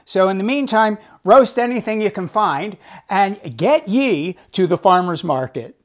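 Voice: mid-range voice, expressive, a little slow. A cooking show host giving a casual cooking demonstration in a home kitchen, chatty and enthusiastic, with folksy asides.

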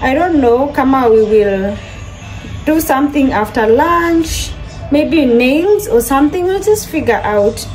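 A young woman talks unhurriedly to her phone camera in a bright home kitchen. Her voice sits high and she keeps addressing her viewers as guys. Quiet music plays softly underneath. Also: expressive, a little slow, low-quality recording. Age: young adult. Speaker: vlogger. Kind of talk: casual vlog chat to camera. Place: modern home kitchen, indoors.

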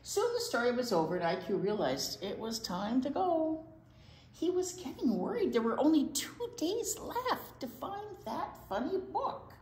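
An older woman reading a picture book aloud to children in an expressive way, with asides to young listeners. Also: sits high, unhurried. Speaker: library storytime reader. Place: indoor library storytime set. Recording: roomy indoor sound.

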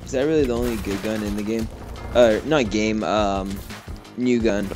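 A man's voice: fairly low pitch, normal speed. A teenage boy chatting and joking with a friend during an online shooter game, laughing often. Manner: giggly, joking. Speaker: co-player friend. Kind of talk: casual gaming chat. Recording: game music and gunfire behind.

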